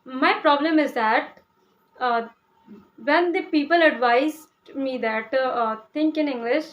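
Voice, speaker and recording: A woman's voice: high-pitched, measured pace, muffled recording. A young Indian woman from Uttar Pradesh, a Hindi speaker, explaining English learning with many fillers and restarts. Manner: hesitant, many "uh" fillers and restarts, asks "okay?". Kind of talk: casual explanatory talk to camera.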